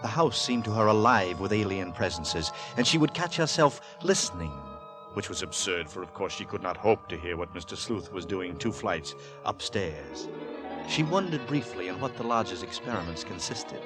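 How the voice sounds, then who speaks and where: A man narrating in 1940s radio drama style, literary, deliberate and suspenseful. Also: sits low, expressive. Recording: vintage 1940s radio with music.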